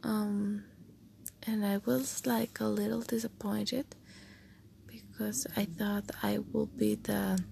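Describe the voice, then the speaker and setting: Slow, soft-spoken young woman. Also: medium pitch, flat delivery, vocal fry, slight background noise. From Costa Rica. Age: late teens. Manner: soft, often half-whispered, hesitant with many fillers. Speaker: podcast host. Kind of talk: casual personal monologue.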